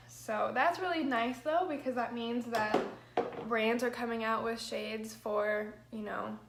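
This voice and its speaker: A young woman with an allergy-hoarse, crackly voice, chatting through a makeup livestream, rambling with lots of "like" and "um". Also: on the high side, echoey room. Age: young adult. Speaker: makeup vlogger. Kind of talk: chatty get-ready-with-me livestream. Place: small bedroom, indoors. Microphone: built-in mic of a camera at arm's length.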